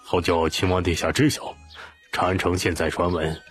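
Imperial guard, played by a male narrator, grave, formal, deep register, audiobook drama. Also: flat delivery, normal speed, breathy edge, soft background music. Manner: solemn, formal.

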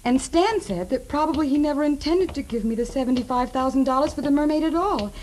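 An actress plays a young American woman in a 1940s radio crime drama. She sounds sweet, earnest and a little hesitant, with a highish, mellow voice. The old recording carries faint noise. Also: fast talker, lightly acted. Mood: earnest, confiding.

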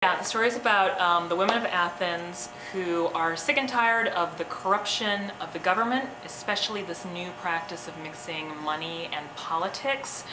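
A woman with a slightly low voice explains a play as its director. The recording is echoey, with clear noise behind her. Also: moderate pace, few pauses. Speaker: theatre director.

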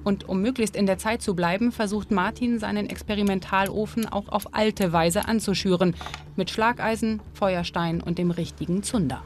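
A woman narrating a history documentary in a calm, informative and lightly playful manner, and also reporting on camera. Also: mid-range voice, moderate pace, a little hiss or hum. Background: music.

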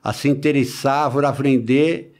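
A man in his forties with a Brazilian Portuguese accent and a medium-pitched voice tells his story casually. He speaks haltingly, doubling back on words and tagging his phrases as he goes. He is close on a studio microphone. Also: steady tone, a little mellow. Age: 40s. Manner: halting, repeats words, peppered with "né" tags. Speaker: podcast guest, body-modification enthusiast. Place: small podcast studio. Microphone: close studio microphone on boom arm.

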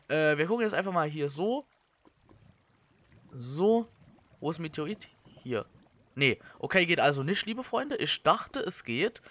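A young man from Germany, giving casual Let's Play commentary and thinking out loud in colloquial language. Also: fairly high pitch. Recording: slightly dull, lo-fi microphone.

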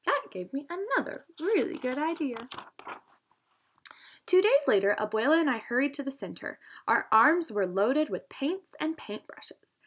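A woman reading a storybook aloud for children in an expressive, instructional teacher's manner. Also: sits high, measured pace, a little hiss or hum.

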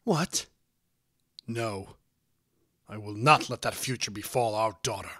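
Guy doing a protective pony dad in a story, stern, loud, pleading hard. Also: on the low side, drawn-out words, no room sound, studio quality. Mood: outraged. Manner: pleading and shouting. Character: stern, protective pony father, adult stallion.

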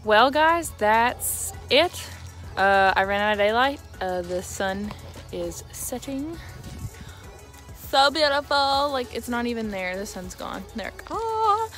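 A woman's voice: on the high side, very animated, slow, fairly bright tone, soft background music. A young woman talking casually to viewers in an informal, rambling way, recorded outdoors on a phone held at arm's length. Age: young adult. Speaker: equestrian vlogger. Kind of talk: casual vlog talk to viewers. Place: outdoors on a horse farm.

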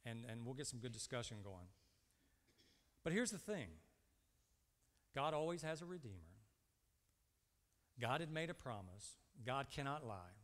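A man's voice: mid-range voice, sing-song, normal speed, long pauses, a bit bright. Man preaching in a reverberant hall. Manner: asks the congregation short rhetorical questions and answers them. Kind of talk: sermon. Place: indoor church stage at a lectern.

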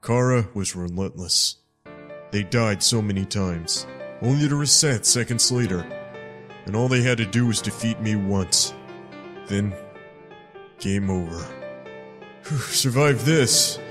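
A man's voice: on the low side, measured pace, long pauses, close to an everyday voice. A man playing a sardonic, grimly resigned guardian in a dramatic fan dub, teasing as he goes. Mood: wry recollection. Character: wry, taunting male guardian, grimly resigned, loves stalling. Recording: loud music behind the voice.

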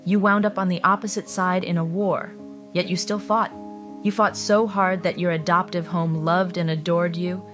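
A proud female fighter plane, played by a woman, blunt and loyal, with a lowish voice. She gives firm, rousing speeches in a cartoon drama over soft music. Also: flat delivery, moderate pace, lightly acted. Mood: firm encouragement.

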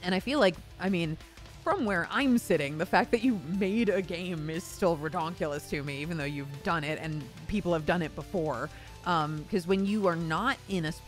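A woman talking casually as a voice actor and host, acting out imagined quotes as she explains things. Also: lowish voice. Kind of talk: casual conversational discussion.

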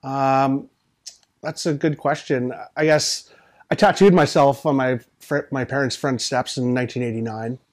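Man, forties, mid-pitched voice, earnest and measured interviewee, tattoo artist talking in a small room. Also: steady tone, a little mellow. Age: 40s. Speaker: tattoo artist and convention organizer. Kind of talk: interview answers, reflective conversation.

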